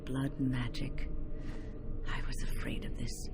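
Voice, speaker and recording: An actress playing a wise, protective older mage in a video game, speaking in a measured, earnest, stern manner. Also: female voice, extremely low pitch, huge pitch swings, in a whisper, loud music behind the voice, heavily acted. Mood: grave. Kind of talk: video game character acting.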